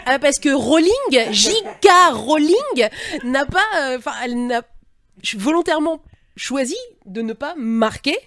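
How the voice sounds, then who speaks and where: A woman's voice: high-pitched, sing-song, measured pace. A woman talking informally as a panelist in a discussion, searching a little for her words as she explains.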